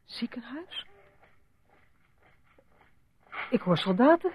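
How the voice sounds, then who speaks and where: A woman's voice: fairly high pitch, very animated, moderate pace, darkish tone, slight background noise. An actress playing a confused, distressed woman patient in a radio drama, asking anxious, faltering questions. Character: distressed, confused female hospital patient after brain surgery.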